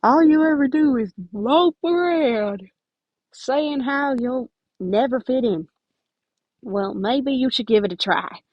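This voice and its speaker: An earnest teenage boy acted out by a woman reader, stern yet caring and pleading with a friend. The voice sits fairly high, in a dry, slightly muffled recording. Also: lively intonation, takes it slowly, no room sound, full character voice. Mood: exasperated, stern.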